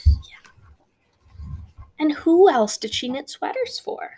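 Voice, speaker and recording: A woman's voice: high-pitched, big pitch swings, slow, dark tone, low-quality recording. A woman guiding young children through a storybook, asking simple questions as a teacher would. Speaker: teacher leading a children's read-aloud.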